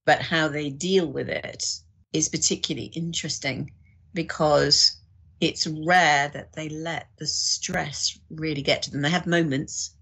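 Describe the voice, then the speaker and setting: A woman's voice: low voice, fairly even intonation, unhurried, creaky, fairly bright tone, husky. A woman guest on a podcast, talking thoughtfully in a conversational way.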